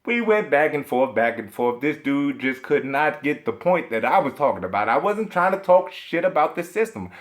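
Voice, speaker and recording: A man in full rant mode, swearing constantly and hammering his points with indignant emphasis. His pitch swings widely as he gets worked up. He keeps tripping over strings of "uh"s while he searches for a word. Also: very animated, normal speed, hardly any pauses. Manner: rambling with stacked filler hesitations. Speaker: video game commentator. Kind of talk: profane ranting vlog commentary.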